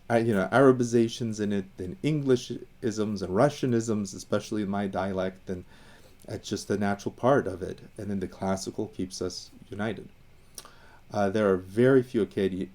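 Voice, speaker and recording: A man's voice: lowish voice, dark tone. A man from Iran explaining language history in casual talk, thinking aloud with fillers and restarts and lingering over the words he defines. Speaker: educator on Assyrian language and identity.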